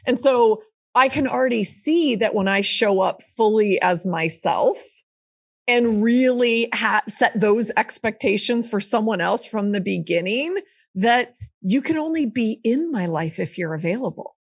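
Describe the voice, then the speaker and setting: A woman's voice: on the high side, animated, unhurried, creaky, dry recording. A woman in her fifties, a coach and speaker, talking frankly and emphatically as a podcast guest, with the odd swear word. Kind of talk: candid conversational interview.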